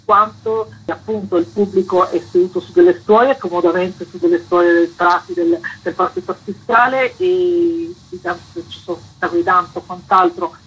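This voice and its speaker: Bright, husky-voiced woman. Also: fairly low pitch, poor audio. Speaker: festival organiser being interviewed. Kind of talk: radio interview, explaining a programme.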